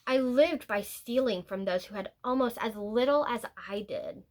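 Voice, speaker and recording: A woman reading a picture book aloud as a teacher would, in a deliberate, expressive storytelling manner with drawn-out words and pauses. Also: on the high side. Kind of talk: picture-book read-aloud, scripted narration with brief asides.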